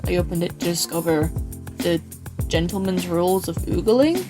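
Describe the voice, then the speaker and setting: A man playing a weary, sarcastic survivor who narrates life after the apocalypse in a deliberate, sighing, dramatic way. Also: sits high, expressive. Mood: exasperated. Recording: music behind the voice. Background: music.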